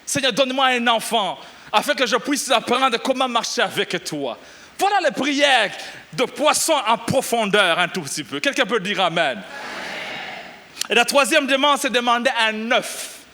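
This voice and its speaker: A middle-aged pastor from Burundi preaching a lively sermon, exclaiming and shouting at the peaks. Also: male voice, very high-pitched, moderate pace. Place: church.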